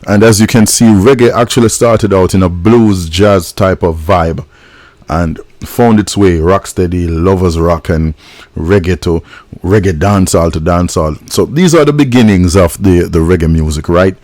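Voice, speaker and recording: A man's voice: sits low, moderate pace, a little mellow, no echo. A middle-aged man from Jamaica, hosting a music reaction and telling stories in a laid-back, reflective way. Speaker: host of a music reaction channel. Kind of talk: casual reaction and storytelling.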